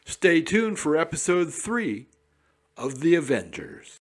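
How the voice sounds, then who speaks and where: A man's voice: a little high, very animated, slow pace. A man hosting a radio programme with scripted narration about broadcasting history.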